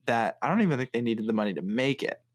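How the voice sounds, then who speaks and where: A man's voice: medium pitch, expressive, fast, dry recording. Teasing male podcast host, dry sound. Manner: playful, teasing, mock-dramatic. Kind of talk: casual chat.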